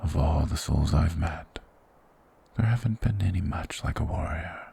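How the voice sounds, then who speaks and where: A man playing a mysterious, courteous monster hermit of the woods in an ASMR fantasy roleplay, speaking in a formal, measured, breathy whisper. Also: rumbling low, slight background noise, exaggerated voice. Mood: reserved, polite. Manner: formal, slow, measured, softly persuasive.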